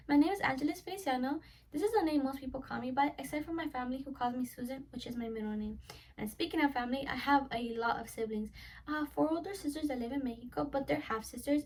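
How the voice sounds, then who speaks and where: A woman's voice: high voice, fast talker, darkish tone, a little hiss or hum. An eighteen-year-old woman, a college student whose first language is Spanish, giving an earnest prepared introduction speech and often wrapping up with "so yeah.". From United States. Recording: voice a little far from the mic.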